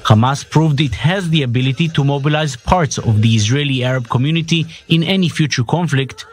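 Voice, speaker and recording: A man reading a scripted news report as a narrator. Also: mid-range voice.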